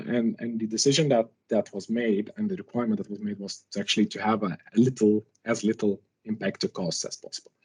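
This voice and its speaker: A man's voice: medium pitch, breathy edge. A man who is a non-native English speaker gives a conversational technical presentation, informally, posing rhetorical questions as he explains. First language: not English.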